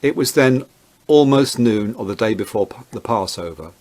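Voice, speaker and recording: A man narrating a dramatized Bible reading in a measured, deliberate way. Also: on the low side, animated, darkish tone.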